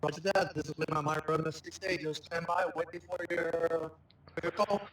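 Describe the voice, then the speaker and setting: A man's voice: a little high, level tone, moderate pace, fairly bright tone. A man giving short pilot replies over game radio. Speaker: amateur pilot in online flight simulator. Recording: faint, distant voice-chat mic.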